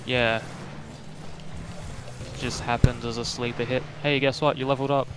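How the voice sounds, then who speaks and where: A man's voice: medium pitch, normal speed, noisy background. A man chatting casually and rambling a bit while streaming a game with a friend. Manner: relaxed, rambling with fillers. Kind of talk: casual gaming chat.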